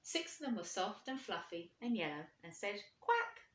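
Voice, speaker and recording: A woman reading a storybook to children, expressive and putting questions to her young listeners. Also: medium pitch, moderate pace. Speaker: primary school teacher reading aloud. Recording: echoey room.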